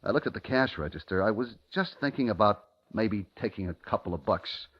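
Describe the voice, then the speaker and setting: An actor playing an anxious, evasive market worker and guilty lover in 1950s radio drama, speaking in tense, terse hard-boiled style. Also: male voice, fairly low pitch, animated, fairly quick. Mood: brooding, hard-boiled. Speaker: protagonist and narrator. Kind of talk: 1950s radio drama acting, first-person noir narration. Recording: muffled 1950s radio recording, music behind.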